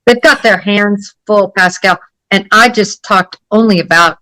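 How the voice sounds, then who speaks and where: A woman speaking off the cuff as a guest analyst, deliberate and emphatic in her delivery. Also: medium pitch, pushed and bright, dry recording, low-quality recording. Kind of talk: unscripted case analysis in an interview.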